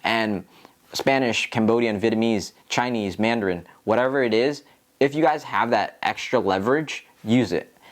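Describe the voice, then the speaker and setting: A man's voice: lowish voice, normal speed. A man with an American accent talking casually to viewers as a tutorial host, in an earnest, encouraging, explanatory way. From Philadelphia, United States. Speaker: real estate agent and video host.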